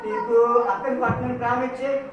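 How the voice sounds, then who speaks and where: A woman hosting a children's puppet show as a Bible teacher, instructing and gently scolding. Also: high voice, animated, fast, highs cut off. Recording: echoey hall from far off, noisy.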